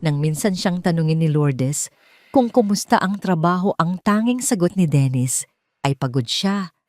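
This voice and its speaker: A Filipino woman reading a scripted story as narrator, with measured, clearly phrased delivery. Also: fairly low pitch, expressive, a little mellow, no echo.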